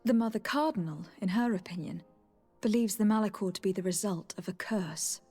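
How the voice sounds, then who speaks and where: An actress playing a loyal young noble envoy in a video game, measured, formal and polite. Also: female voice, mid-range voice, warm tone, slightly rough, faint music behind, natural voice. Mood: composed, reporting. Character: composed, diplomatic young noblewoman, loyal envoy to her cousin. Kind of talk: video game cutscene acting.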